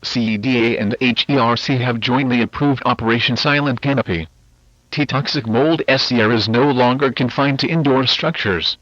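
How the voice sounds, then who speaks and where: A man's voice: medium pitch, moderate pace, fairly bright tone, dry recording, muffled recording, close to an everyday voice. A man voicing a stern government official, reading a scripted emergency alert in a formal, authoritative manner. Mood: grave, official. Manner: formal, authoritative, clipped military phrasing. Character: stern official of a government biohazard agency issuing evacuation orders. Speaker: emergency broadcast announcer. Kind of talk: scripted emergency alert narration, analog horror.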